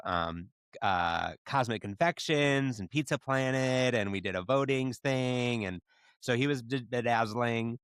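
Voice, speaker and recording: Low-voiced man chatting casually. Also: a bit bright, smooth, no room sound, studio quality. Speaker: podcast host. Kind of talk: casual chat, storytelling.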